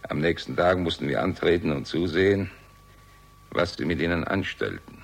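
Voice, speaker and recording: A very low, husky male voice playing a bitter old soldier in a radio crime play. He is curt and evasive, with a cynical, sardonic edge. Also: lively intonation, moderate pace, full character voice. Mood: grim, bitter. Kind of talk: German radio crime drama acting.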